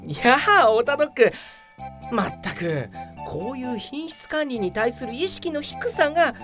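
A woman's voice: fairly high pitch, animated, moderate pace, over music, close to an everyday voice. A woman playing a young male office worker in an audio drama, self-assured and grumbling. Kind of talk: Japanese audio drama acting.

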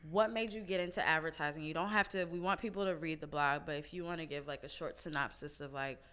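Low, bright-toned woman host. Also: fairly even intonation, fast talker, smooth. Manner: laid-back, swears casually, laughs often. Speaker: podcast host. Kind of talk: casual chat.

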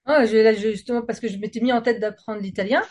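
A woman's voice: a little high, fairly even intonation, quick pace, darkish tone. A woman from France with a French accent, chatting casually and talkatively in informal, slangy language. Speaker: singer, guest. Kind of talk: casual conversation.

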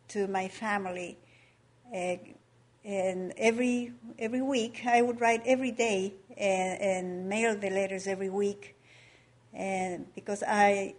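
Slow-speaking woman from Ecuador. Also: medium pitch. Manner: slow, careful, hesitant. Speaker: missionary's wife giving testimony. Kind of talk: personal testimony.

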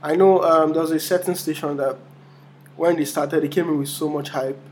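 A young Nigerian man co-hosting a casual podcast, explaining things in a relaxed way with plenty of fillers. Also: on the high side, normal speed, a little hiss or hum. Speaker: podcast co-host.

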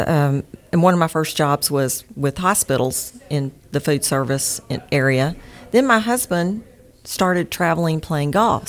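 A middle-aged woman with an East Texas accent, chatting casually as an interview guest. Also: sits low. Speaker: interview guest, home warranty sales manager. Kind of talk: casual conversational interview answers.

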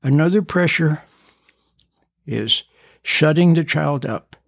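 An older man in his seventies, speaking a little high for a man, with a gravelly edge. He stutters now and then and talks earnestly to parents, circling back over his points. The sound is close and very dry. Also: slow, no echo. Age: 70s. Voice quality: stutters at times. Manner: earnest, preachy, repeats and rephrases points. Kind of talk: recorded talk addressing parents.

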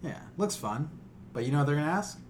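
Blunt, animated male podcast co-host. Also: on the high side, quick pace, slight background noise. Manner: blunt, teasing, swears freely. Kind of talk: casual opinionated banter.

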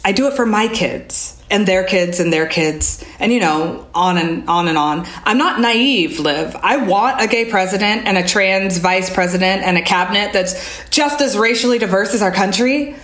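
A woman's voice: medium pitch, moderate pace, fairly bright tone, lightly acted. A woman playing an idealistic, fired-up queer teenage activist with an American accent, speaking emphatically and casually in an audio drama. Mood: earnest, reassuring. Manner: emphatic, earnest, casual swearing. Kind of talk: naturalistic audio drama dialogue. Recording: roomy, echoey space.

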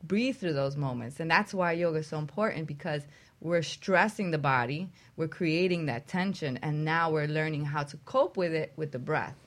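A woman's voice: deep voice, normal speed. A woman speaking casually as a yoga teacher on a talk show panel.

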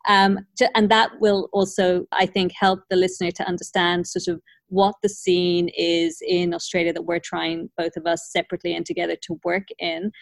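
A woman who grew up in Ireland, hosting an academic conversation in a thoughtful, measured way with frequent hedges. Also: medium pitch, dry recording. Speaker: podcast host.

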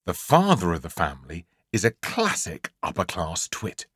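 A man's voice: low voice, wildly swooping pitch, moderate pace, fairly bright tone, slightly rough, no room sound, studio quality. A man with an English accent narrating a comic children's story in a theatrical, emphatic way, drawing out key words. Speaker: audiobook narrator.

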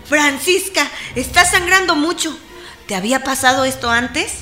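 A woman's voice: high voice, normal speed, sharp tone, soft background music. An actress playing an anxious young pregnant countrywoman with a Mexican accent in a radio drama. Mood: alarmed, exasperated. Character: Esperanza, anxious pregnant countrywoman helping her cousin. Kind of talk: Spanish-language radio drama acting.

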